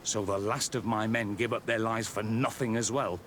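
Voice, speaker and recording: Actor as weary, low-voiced outpost captain, ambience behind. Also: male voice, fairly even intonation, moderate pace, a bit bright, a little hiss or hum, close to an everyday voice. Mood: bitter, defensive. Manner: bitter, self-deprecating. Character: weary, embittered male outpost military captain. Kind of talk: video game cutscene acting.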